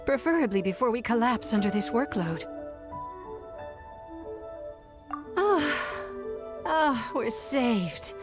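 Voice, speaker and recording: Formal, highish-voiced overworked secretary. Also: female voice, lively intonation, a little slow, over music. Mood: weary, relieved. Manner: formal, explanatory. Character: formal, overworked female private secretary, courteous and tired. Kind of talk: anime-style video game voice acting.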